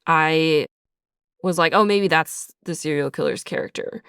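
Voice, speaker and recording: A woman's voice: deep voice, measured pace, fairly bright tone, clear, resonant tone, no room sound, studio quality. A woman guest speaking casually and reflectively as a writer in an interview, thinking aloud as she goes. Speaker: writer and creator of an audio drama, guest. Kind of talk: casual behind-the-scenes interview.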